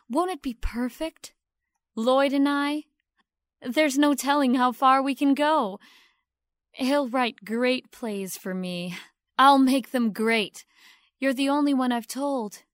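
A woman's voice: high-pitched, takes it slowly, dry recording, clean studio sound. A woman acting in an audio drama as an ambitious, scheming young stage actress, poised and self-assured with a sweet front. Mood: eager, confiding.

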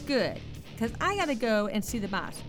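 A woman playing a brisk, sassy hen who manages a gangster's bar in an audio drama, bossy and dry. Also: a little high, sing-song, soft background music.

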